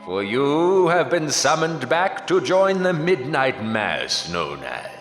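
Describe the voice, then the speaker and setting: Slow, echoing sinister horror narrator. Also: male voice, on the high side, big pitch swings, a bit bright, heavily acted. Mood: gleefully sinister. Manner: theatrical, ominous, drawn-out. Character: sinister, gleefully macabre male horror narrator. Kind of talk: scripted horror radio drama introduction. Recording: cavernous reverb with music behind.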